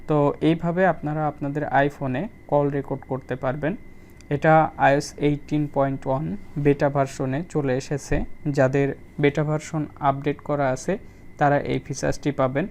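A man's voice: on the high side, flat delivery, moderate pace, darkish tone, a little hiss or hum. A man giving a plain, step-by-step phone tutorial as a channel host. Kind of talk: spoken phone tutorial voice-over.

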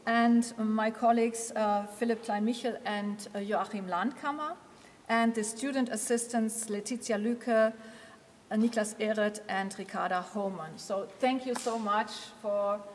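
A woman's voice: on the high side, fairly even intonation, measured pace, slight background noise. Hesitant woman giving thanks in a hall. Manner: hesitant, with many ums and uhs. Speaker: conference organizer. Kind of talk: welcome remarks and thanks. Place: large hall at a lectern.

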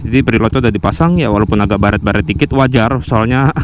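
A man's voice: fairly low pitch, quick pace. A young man with a Jakarta Indonesian accent, chatting casually and in slang as a motovlogger. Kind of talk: casual vlog commentary. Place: outdoors, around and riding a motorcycle. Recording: noisy action-camera audio, motorcycle engine and wind. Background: motorcycle and road noise.

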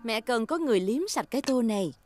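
Cartoon mum pig , female performer: fairly high, tender, coaxing delivery, music behind. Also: lively intonation, quick pace, natural voice. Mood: gentle, coaxing. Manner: coaxing, motherly. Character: gentle mother pig. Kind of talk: Vietnamese children's cartoon dub.

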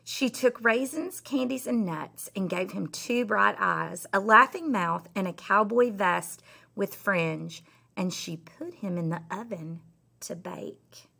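A middle-aged woman, a teacher, reading a children's story aloud in an expressive, emphatic way. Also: medium pitch, slow pace, gravelly. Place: indoor school classroom.

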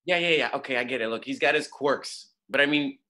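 A man's voice: medium pitch, a little fast, pushed and bright, natural voice. A man in his thirties playing a hot-headed, wounded young chef in a naturalistic table read, slangy and exasperated. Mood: pleading. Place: room at home, video call.

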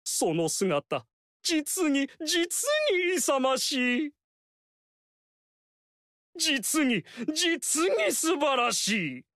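An actor voicing a flamboyant, fawning court strategist in a video game, speaking in a theatrical, courtly way and repeating phrases for emphasis. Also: male voice, very high voice, big pitch swings, drawn-out words, long pauses, sharp tone, no echo, clean studio sound, heavily acted. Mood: flattering. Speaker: game character.

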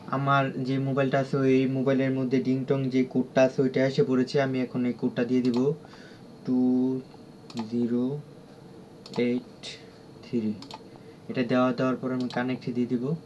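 Flat-toned Bangladeshi man, noisy lo-fi recording. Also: mid-range voice. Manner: halting, repetitive, instructional. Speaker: tutorial presenter. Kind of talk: unscripted screen-recorded app walkthrough. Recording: dull lo-fi audio with clear background noise.

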